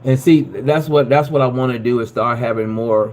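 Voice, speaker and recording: Mellow-voiced man chatting on a livestream. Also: medium pitch, moderate pace. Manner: rambling, leans on "you know". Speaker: livestream host. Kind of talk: casual chat.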